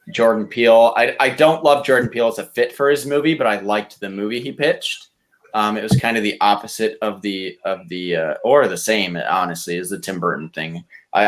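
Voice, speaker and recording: Man, slightly low voice, blunt, argumentative, profane, casual podcast debate. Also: fairly bright tone. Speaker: podcast contestant pitching movie reboots.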